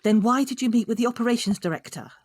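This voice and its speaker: A woman's voice: on the high side, fast, a little mellow, lightly acted. A woman playing an exasperated, sardonic grown daughter in a naturalistic audio drama, with a biting, nagging manner.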